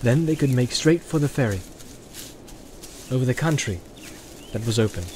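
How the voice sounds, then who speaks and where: A man reading a fantasy audiobook aloud, narrating in an even, storytelling delivery. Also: mid-range voice, moderate pace, a little hiss or hum. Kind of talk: scripted narration.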